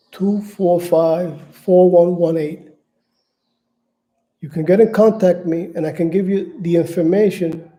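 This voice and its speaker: A man's voice: high voice, mellow, low-quality recording. A man from New York talking casually and unscripted to his viewers, often stumbling and repeating words as he hunts for what to say. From Manhattan, New York, United States. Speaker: channel host appealing for donations. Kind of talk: casual unscripted livestream talk.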